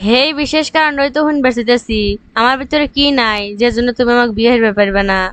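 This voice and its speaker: Woman acting a high-pitched, reproachful young cartoon woman. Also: quick pace, slight background noise, close to an everyday voice. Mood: indignant. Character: hurt, reproachful rich young businesswoman rejected in love. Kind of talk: comic cartoon dialogue.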